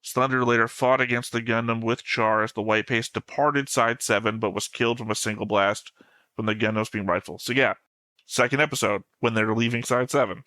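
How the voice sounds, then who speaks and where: Bright-voiced man, pedantic and insistent. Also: medium pitch, steady tone, brisk, no room sound. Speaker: reaction show co-host. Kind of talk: casual fan discussion.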